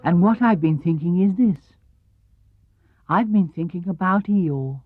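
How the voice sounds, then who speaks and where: A man voicing an amiable, slow-witted toy bear from a children's storybook, simple, deliberate and earnest. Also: high-pitched, animated, no echo, phone-quality sound, faint music behind, voice pushed up. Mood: thoughtful.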